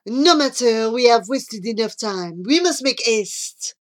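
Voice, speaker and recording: A woman puts on a lovesick male pigeon from a children's story. He has a French accent and woos in grand, florid phrases. The delivery is slow and swoops widely in pitch. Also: lively intonation. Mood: grandly eager. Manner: florid, grandly romantic, easily distracted. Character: amorous, dramatic French male pigeon, flowery suitor.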